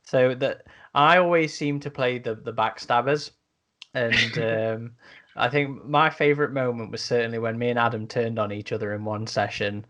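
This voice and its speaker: A man's voice: medium pitch, fairly even intonation, normal speed, fairly bright tone, slight background noise. A man hosting a podcast in casual conversation. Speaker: podcast host. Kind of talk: casual chat.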